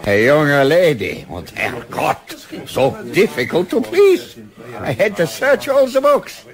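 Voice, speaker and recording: A man playing a courteous, chatty German harbour official with a German accent in a radio play, formal yet expressive. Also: a little high, slow, exaggerated voice. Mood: amused. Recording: crowd bustle behind the voice.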